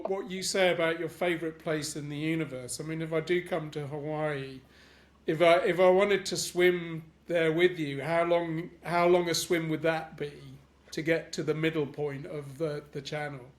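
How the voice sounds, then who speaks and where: Higher-voiced male podcast host. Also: steady tone. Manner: enthusiastic, halting questions. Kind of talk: casual interview questions.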